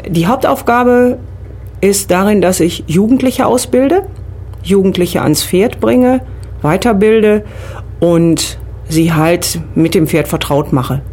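A woman's voice: mid-range voice, takes it slowly, a little mellow, breathy edge. A middle-aged woman from the Rhineland with a Rhineland German accent, a riding instructor answering questions as a studio guest on a radio show. She explains things step by step and uses fillers.

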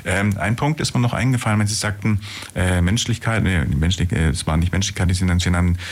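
A man's voice: low-pitched, quick pace. A man hosting a conversational radio interview, rambling a little and rephrasing his questions as he goes.

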